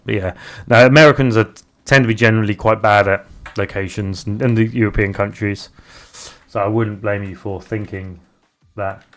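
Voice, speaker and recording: A young British man streaming live, chatting casually and haltingly as he reads out viewer messages. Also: on the low side, steady tone, a bit bright. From Britain. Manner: rambling, halting, restarts often while reading viewer chat aloud. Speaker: live streamer and game developer.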